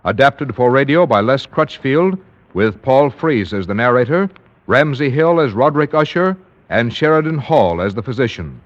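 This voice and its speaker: Old-time radio announcer guy, formal and measured, medium pitch, kinda dull lo-fi recording. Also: animated. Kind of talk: scripted 1940s radio announcing.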